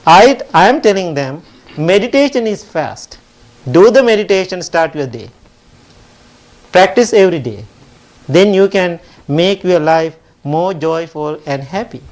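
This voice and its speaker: High-voiced Sri monk teaching. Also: male voice, lively intonation, a little slow, low-quality recording. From Sri Lanka. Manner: warm rhetorical asides, often asks "right?", laughs lightly. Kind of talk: dharma talk to a congregation. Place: temple hall.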